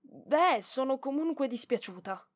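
Una donna che interpreta una giovane bibliotecaria orgogliosa e impacciata, scontrosa ma tenera, esitante e indignata, in un roleplay ASMR. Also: female voice, sits high, lively intonation. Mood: subdued, apologetic. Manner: hesitant, indignant, halting with sighs. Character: proud, flustered young female librarian, prickly but softening. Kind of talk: ASMR roleplay voice acting, one side of dialogue.

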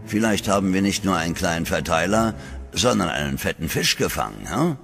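An actor playing a blunt, gruff police officer in a German radio play, with a gravelly voice. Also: male voice, deep voice, sing-song, fairly quick, a bit bright, long reverb tail, faint music behind, heavily acted.

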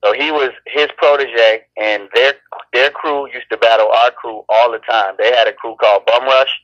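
Laid-back rapper on a dull line. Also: male voice, medium pitch, fairly even intonation, moderate pace, fairly bright tone, dry recording. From born in Chicago, raised in Los Angeles. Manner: laid-back, rambling with many restarts. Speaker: rapper, interview guest. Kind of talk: casual interview talk.

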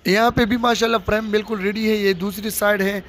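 High-voiced man touring a stadium. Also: level tone, a little fast, a bit bright. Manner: addresses viewers directly, repetitive showing phrases. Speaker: vlogger giving construction updates. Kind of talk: casual walking tour narration. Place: outdoors in a cricket stadium under construction. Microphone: handheld phone camera held by the speaker. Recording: faint outdoor noise.

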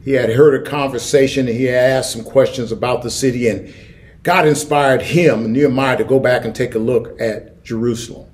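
A man's voice: mid-range voice, steady tone, moderate pace, a little mellow. A middle-aged American man preaching a sermon as a pastor, emphatic and given to repeating key phrases. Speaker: senior pastor. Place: church sanctuary, indoors.

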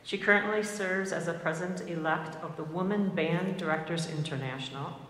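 Loud, low-voiced woman in an echoing hall. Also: normal speed. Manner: loud voice, chatty with fillers. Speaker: band conductor. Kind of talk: introducing a guest conductor. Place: large concert hall stage. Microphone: mic on a stand. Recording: roomy concert hall reverb, faint hiss.

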